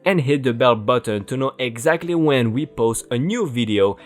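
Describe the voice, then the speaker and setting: A man narrating Reddit stories aloud in a lively, sing-song reading voice. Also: medium pitch, normal speed. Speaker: story narrator.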